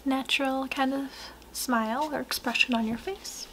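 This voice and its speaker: A young woman speaking softly, often in a whisper, in a gentle, unhurried ASMR personal-attention roleplay, murmuring close to the listener. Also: high voice, steady tone, slight background noise, poor audio. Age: young adult. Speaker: ASMR artist roleplaying a portrait painter.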